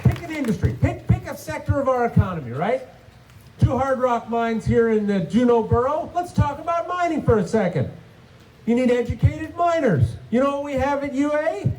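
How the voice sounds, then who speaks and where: Casting: state senator at a rally, man, very high voice, emphatic, rhetorical, faint background noise. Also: animated, moderate pace, darkish tone, poor audio. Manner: punchy rhetorical questions, emphatic. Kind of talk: rally speech.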